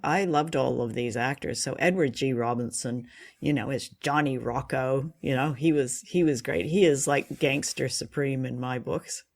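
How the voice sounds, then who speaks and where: A woman co-hosting a casual review chat, offering her opinions in a measured, thoughtful way. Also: extremely low pitch, gravelly. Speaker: podcast co-host. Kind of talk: casual review chat about an old radio play.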